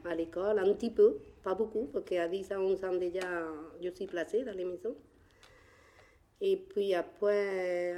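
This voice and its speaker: An older woman from Spain with a Spanish accent, whose first language is Spanish, plainly recounting her life in halting French mixed with Spanish words. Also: on the low side, dark tone, a little hiss or hum. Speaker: interviewee.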